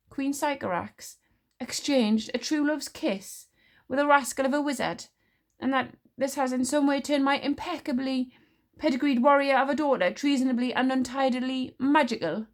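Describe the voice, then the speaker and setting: A woman's voice: sits high, normal speed, pitched above the performer's own voice. A woman voicing a stern, proud warrior queen who speaks in an icy, grim, clipped way. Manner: cold, clipped, commanding.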